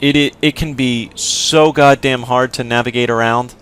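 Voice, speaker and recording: A young man giving casual, rambling commentary while he plays a video game, with lots of fillers and sudden shouts. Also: mid-range voice, steady tone, moderate pace, clean tone. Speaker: gameplay video host. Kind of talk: casual let's play commentary.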